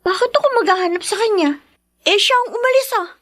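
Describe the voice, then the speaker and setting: An actress playing a weary, dutiful young Filipino daughter in a radio drama. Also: female voice, very high-pitched, animated, fast. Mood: casual, guarded.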